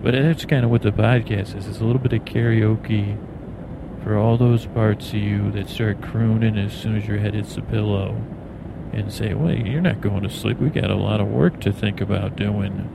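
Halting, creaky, low-voiced rambling man. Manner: meandering, halting, stammering, repeats words. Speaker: podcast host. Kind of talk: rambling bedtime podcast monologue. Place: on an airplane in flight. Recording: steady airplane cabin noise behind voice. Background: airplane cabin drone.

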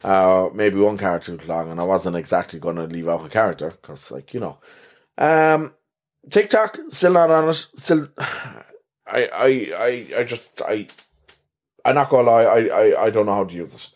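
A man's voice: on the low side, darkish tone. An Irish man hosting a podcast, chatting casually in a rambling, self-deprecating way with a soothing voice. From Ireland. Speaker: podcast host. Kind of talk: casual solo podcast chat.

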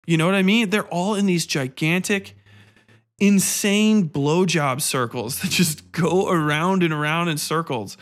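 Male podcast host, slightly high voice, casual ranting delivery, profane and exasperated. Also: measured pace. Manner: profane, exasperated, blunt complaining.

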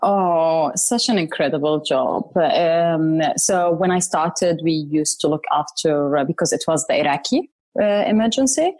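A woman's voice: deep voice, lively intonation, measured pace, a little mellow, dry recording. A woman in her thirties who grew up in Syria, speaking as an interview guest, enthusiastic and repeating words for emphasis. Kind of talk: interview conversation.

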